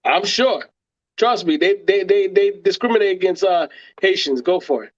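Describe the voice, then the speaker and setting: Casting: male co-host, high voice with animated swings, slangy, repetitive banter, muffled low-fidelity sound. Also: moderate pace, crowd noise. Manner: slangy, repeats short phrases. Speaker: podcast co-host. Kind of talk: casual interjections and banter. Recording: dull, lo-fi audio.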